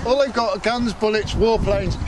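High-voiced emphatic middle-aged man in loud wind. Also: steady tone, normal speed. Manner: emphatic, declamatory. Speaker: activist. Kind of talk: impassioned outdoor political talk to a small group. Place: open grassy field, outdoors. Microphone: camera microphone a few steps away. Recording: very noisy windy outdoor camera audio. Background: loud wind and ambient noise.

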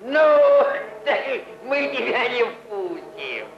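Small high-voiced wary cartoon animal. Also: male voice, sing-song, drawn-out words, music in the background, heavily acted. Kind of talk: 1940s Soviet animated fairy-tale acting in rhyme. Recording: dull echoey old 1940s film soundtrack.

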